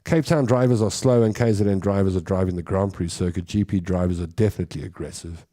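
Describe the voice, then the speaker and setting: A man's voice: sits low, fast talker, warm tone. A man from South Africa with a South African accent, hosting a show with a chatty, rambling comic monologue and laughing at his own stories. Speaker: host.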